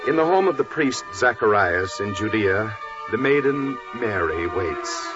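A man's voice: on the low side, animated, unhurried. Solemn vintage radio announcer, man, over music. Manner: solemn, formal. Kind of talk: 1940s radio scripted narration. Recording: dull, muffled 1940s radio recording. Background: prominent music.

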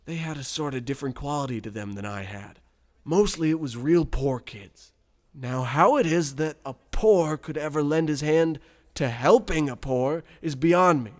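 A man playing a folksy, naively smug country boy who tells his story deadpan, with a rural American accent, in a radio parody. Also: on the high side, moderate pace, close to an everyday voice. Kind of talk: scripted first-person storytelling, radio parody.

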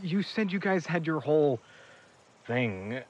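A man as a slow, hurt young man. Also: on the high side, very animated. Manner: hesitant, resigned. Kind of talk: naturalistic audio drama acting.

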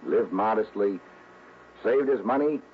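A man's voice: fairly low pitch, a little slow, lightly acted. An actor playing a genial, shrewd American insurance man who was once an investigator, folksy and explanatory, in a 1960s radio drama. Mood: matter-of-fact. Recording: tinny, hissy old radio recording.

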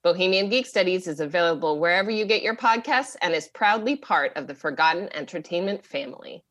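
A woman's voice: on the low side, expressive, a little fast, fairly bright tone. A woman hosting a podcast, reading a theatrical scripted promo. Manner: theatrical announcer.

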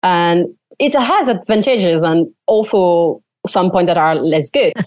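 Young French woman, animated interview guest, dry close sound, filler-heavy talk. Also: fairly low pitch, a little slow, dry recording. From France. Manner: animated, frequent "like" fillers, laughs often. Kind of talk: casual interview chat.

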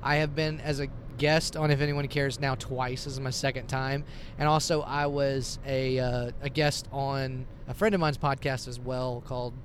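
A man's voice: mid-range voice, level tone, moderate pace. A man guesting on a podcast, earnest and talkative, holding a handheld mic in a moving car. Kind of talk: casual chat. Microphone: handheld mic held backwards. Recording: clear road noise from a moving car.

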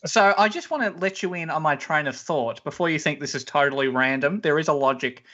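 A man's voice: on the high side, fairly quick, sharp tone. A man with a nasal voice hosting a comedy podcast, playfully announcing rounds. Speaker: host. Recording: lo-fi podcast audio.

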